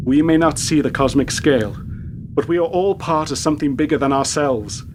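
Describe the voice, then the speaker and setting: A man playing a grave, secretive, uneasy character in a radio play, with stilted, solemn, deliberate phrasing. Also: on the high side, background noise, natural voice. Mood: grave, cryptic.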